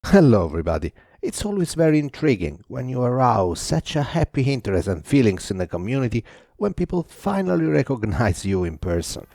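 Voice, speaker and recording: A man's voice: medium pitch, sing-song, brisk, warm tone, no room sound, studio quality. A man giving a formal, wordy voice-over commentary over his own gameplay video. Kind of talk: scripted voice-over narration.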